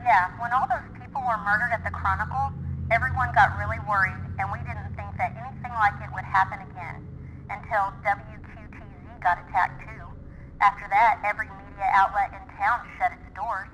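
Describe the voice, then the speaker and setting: Woman playing nervous-ish small town lady on a phone interview, medium pitch, pretty noisy, radio play. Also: fairly even intonation, brisk, natural voice. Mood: earnest, wary.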